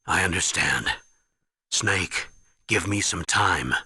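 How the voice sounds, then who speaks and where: A troubled older colonel in a video game. His voice is low and extremely raspy, creaking with gravel. He comes across as gruff, evasive and defensive. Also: expressive, takes it slowly, bright, forceful tone, dry recording, studio quality, exaggerated voice. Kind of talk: dramatic video game voice acting.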